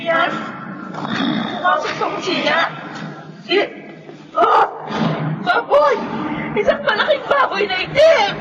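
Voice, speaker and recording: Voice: expressive, slow pace, pauses often, dull audio, a loud crowd, heavy background noise, exaggerated voice. An actor playing a terrified pregnant woman in a horror radio drama, shrieking in panic. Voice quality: shrill, shrieking. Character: terrified pregnant woman under attack by a monster. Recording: distant, echoey, loud screams and ambience behind.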